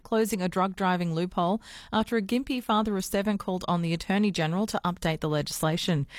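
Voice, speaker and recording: Aussie woman reading the news, lowish voice, measured, dry close mic. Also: no echo. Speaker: newsreader. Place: radio studio.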